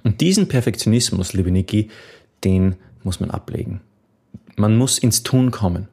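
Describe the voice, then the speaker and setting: A man's voice: lowish voice, moderate pace, warm tone, slightly rough. A man coaching on memory techniques, answering a viewer's question in an earnest, encouraging way with occasional restarts.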